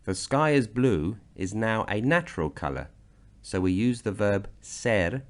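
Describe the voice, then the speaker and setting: Man, very low voice, unhurried, from Britain, teaching grammar from slides. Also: lively intonation. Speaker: language teacher. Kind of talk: scripted slideshow narration.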